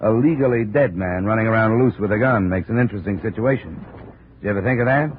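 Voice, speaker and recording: An actor playing a hard-boiled, wry male investigator in 1950s radio drama, brisk, probing and sardonic. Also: lowish voice, close to an everyday voice. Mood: tough, pointed. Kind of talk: 1950s radio detective acting and narration. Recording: scratchy, narrow-band 1950s radio recording.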